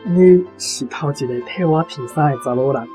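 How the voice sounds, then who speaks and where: A man's voice: a little high, moderate pace, dark tone, close to an everyday voice, rough recording. An actor with a Taiwanese accent playing a naive, plain-spoken young male conscript in a radio drama, earnest and colloquial. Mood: curious, gentle. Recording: music behind the voice.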